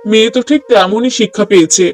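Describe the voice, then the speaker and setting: A man's voice: high voice, fast, natural voice. A man playing a stern, fed-up middle-aged husband and father in a moral-story cartoon, lecturing reproachfully. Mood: stern, exasperated.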